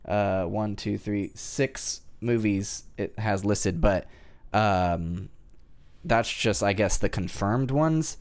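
A man talking as a panelist in a casual group discussion, somewhat halting and hesitant in delivery. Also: on the low side. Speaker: podcast panelist.

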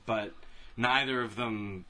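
Guy podcast co-host, bright forward voice, kinda halting casual talk. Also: on the low side, fairly even intonation. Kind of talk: casual chat about video game movies.

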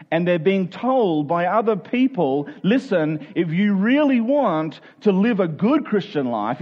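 A man preaching in an informal, conversational way, as a pastor speaking to his church. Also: mid-range voice. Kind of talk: conversational sermon with everyday illustrations, prayer and scripture reading. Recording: dull, lo-fi sermon recording.

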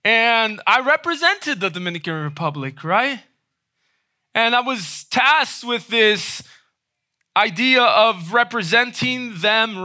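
A man preaching a sermon in a conversational way, often checking in with a quick "right?". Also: high-pitched, pushed and bright. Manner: conversational, frequent "right?" tag questions.

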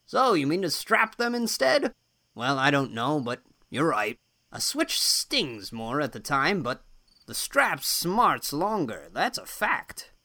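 A woman voicing a blunt, teasing old farmer man who drawls in a gruff, rustic way. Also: low voice, big pitch swings, slow, sharp tone, voice pushed down, full character voice.

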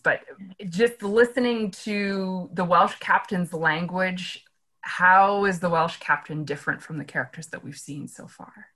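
A woman directing a play reading, animated and gushing, laughing often. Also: lowish voice, a little slow. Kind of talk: enthusiastic discussion.

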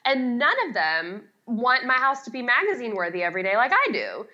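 A woman's voice: on the high side, big pitch swings, brisk, sharp tone, dull audio. A middle-aged woman talking casually as an expert guest in an interview, with a chatty, emphatic, self-deprecating way of explaining. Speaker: guest, home organizing expert.

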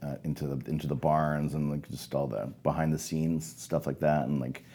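A man's voice: a bass voice, little pitch movement, moderate pace, warm tone, slight background noise. A man in his forties who grew up in Canada, chatting casually as a guest, rambling with many restarts and fillers. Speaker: guest photographer. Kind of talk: casual podcast conversation.

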